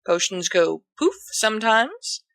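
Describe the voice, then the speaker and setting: A woman's voice: mid-range voice, big pitch swings, takes it slowly, a bit bright, no room sound, voice pushed up, exaggerated voice. A woman voicing a mild, dry-witted teenage schoolboy with an understated teasing manner in an audiobook story. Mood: wry.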